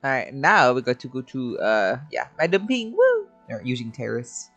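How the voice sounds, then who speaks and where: A woman's voice: very deep voice, big pitch swings, moderate pace, highs cut off. A woman streaming a game with casual, off-the-cuff reactions and short interjections over game music. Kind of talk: casual let's play reactions.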